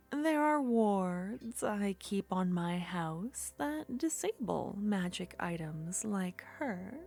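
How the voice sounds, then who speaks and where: Woman doing a slow-whispering coaxing witch. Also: mid-range voice, darkish tone, heavily acted. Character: flirtatious curious female witch, secretive. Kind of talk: ASMR roleplay.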